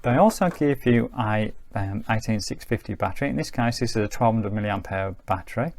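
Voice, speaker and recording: A man's voice: on the low side, animated, brisk, darkish tone. A man giving a casual hands-on gadget review in a chatty, unscripted, explanatory way. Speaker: product reviewer.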